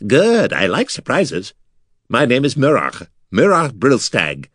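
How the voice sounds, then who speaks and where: A man's voice: wildly swooping pitch, takes it slowly, a bit bright, dry recording, clean studio sound, exaggerated voice. Man voicing a chatty hollow-voiced skull. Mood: cheery. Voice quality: odd hollow, like a low flute. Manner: chatty, gloating, jokey. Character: cheery talkative male undead skull. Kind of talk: audiobook character voice.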